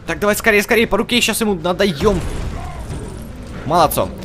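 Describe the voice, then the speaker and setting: A man doing casual, slangy let's-play commentary, excitedly urging the game's characters on as he plays. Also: high-pitched, brisk, slight background noise. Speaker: gaming channel host. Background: game music and effects.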